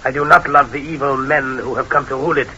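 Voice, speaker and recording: Actor as a grave old Frenchman, bright forceful voice. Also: medium pitch, steady tone, fast, lightly acted. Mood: proud, solemn. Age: older. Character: old French patriot father, grave, courteous, principled. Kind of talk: old-time radio drama acting. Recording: dull, hissy old radio recording.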